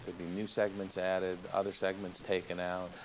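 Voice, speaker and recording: Low-voiced man, little intonation, hesitant interview speech, clear ambient noise. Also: completely flat delivery, poor audio. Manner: halting, repeats words. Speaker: interviewee explaining film history. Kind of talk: unscripted interview.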